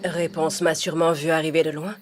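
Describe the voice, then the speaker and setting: A woman's voice: low voice, flat delivery, a little fast, lightly acted. A woman playing a possessive, vengeful older witch in a children's cartoon fairy tale. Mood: suspicious. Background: music.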